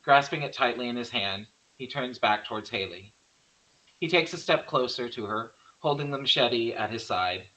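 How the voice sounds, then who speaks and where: A man's voice: mid-range voice, moderate pace, bright, forceful tone, low-quality recording. A man reading out screenplay stage directions as a narrator.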